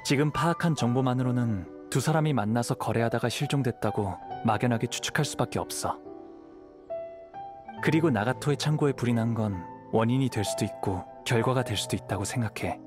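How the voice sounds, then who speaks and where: An actor playing a calm, thoughtful young wandering samurai in a video game, speaking in a measured, analytical way. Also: male voice, mid-range voice, over music, lightly acted. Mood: calm, reflective.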